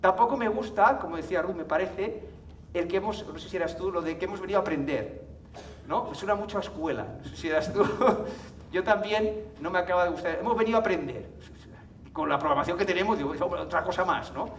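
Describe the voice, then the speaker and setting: A man's voice: high-pitched, quick pace, slight background noise. A man from giving a live conference talk to an audience, chatty, often checking in with tag questions and laughing. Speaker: conference speaker. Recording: echoey hall, mic from far off.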